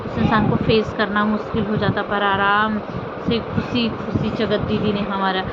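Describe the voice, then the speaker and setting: A woman's voice: mid-range voice, little pitch movement, normal speed. A woman vlogger giving casual commentary on family drama, rambling and repeating phrases for emphasis. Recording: muffled audio with loud outdoor traffic noise.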